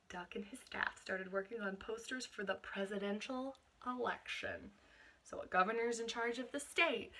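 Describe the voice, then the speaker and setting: Woman, teacher's children's read-aloud, lively swooping intonation, fairly high pitch, expressive, explanatory asides. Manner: expressive, quizzing young listeners. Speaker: teacher reading a picture book aloud.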